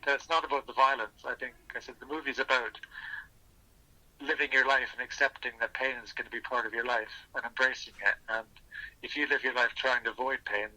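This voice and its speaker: A man with a very sharp, bright voice talks reflectively as a podcast guest over the phone. He is earnest and thinks aloud, circling back to restart phrases as he shares personal reflections. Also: mid-range voice, steady tone, a little fast. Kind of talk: reflective personal storytelling in an interview. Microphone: phone call. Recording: thin, bright telephone line.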